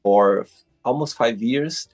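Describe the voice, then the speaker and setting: A man's voice: mid-range voice, a little slow. A Brazilian man in his thirties, a native Portuguese speaker with a Brazilian accent, hosting a casual interview with effusive, repeated praise for his guest. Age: 30s. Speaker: host.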